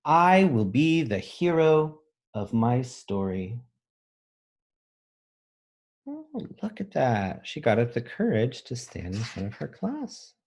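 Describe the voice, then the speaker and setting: A man reads a children's picture book in a slow, deliberate way. He puts expression into the lines, then steps out of the text to explain things simply, as a teacher does with young pupils. His voice sits at an ordinary male pitch. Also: very animated, gravelly, darkish tone. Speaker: elementary school teacher reading aloud.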